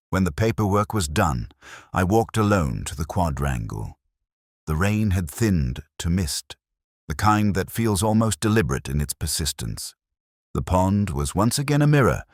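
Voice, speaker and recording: A man reading a first-person detective drama as narrator, in a measured, literary, brooding manner. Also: rumbling low, no echo, studio quality.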